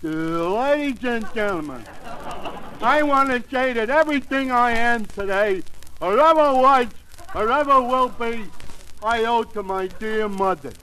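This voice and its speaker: An actor playing a dopey, earnest dimwit tavern regular in an old-time radio comedy. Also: male voice, extremely high pitch, lively intonation, unhurried, pushed and bright, heavily acted. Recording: very noisy old radio recording.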